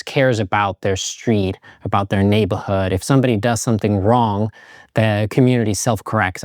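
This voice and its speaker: A man's voice: on the low side, dry recording, studio quality. A middle-aged man from Finland, talking in a reflective, loose, casual vlog style. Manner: reflective, loose, hedging with "like" and "kind of". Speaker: vlogger.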